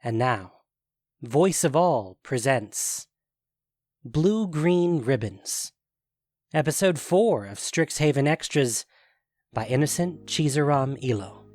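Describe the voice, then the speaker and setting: A man's voice: mid-range voice, lively intonation, takes it slowly, pauses often, a little mellow, dry recording, clean studio sound. A man hosting and narrating a scripted fantasy audio story. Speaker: narrator and podcast host.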